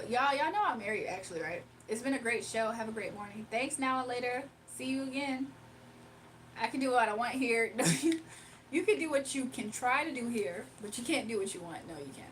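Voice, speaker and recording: A woman's voice: fairly high pitch, a little fast, fairly bright tone, a little hiss or hum. A woman chatting casually on a live stream from her phone, reading viewer comments aloud and laughing often. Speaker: livestreamer.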